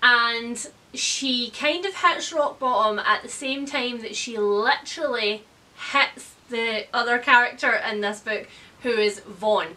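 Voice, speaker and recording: A woman with a very bright, forceful voice that sits a little high. She talks casually as a book reviewer, blunt and wry, happy to give her opinion. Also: slow. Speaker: book reviewer, video host. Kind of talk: casual chatty book discussion. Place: indoors, room lined with bookshelves.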